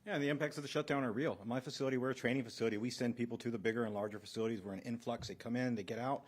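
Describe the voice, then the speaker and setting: A man's voice: medium pitch, fairly even intonation, racing. A man giving testimony as an air traffic controller at a press conference, earnest, in short plain sentences.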